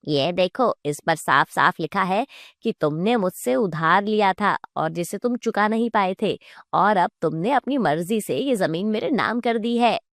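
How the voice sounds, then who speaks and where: Woman as menacing male landlord, cartoon villain, bossy and greedy, animated pitch, slow delivery. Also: no echo, clean studio sound, full character voice. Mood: smug. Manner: menacing, commanding.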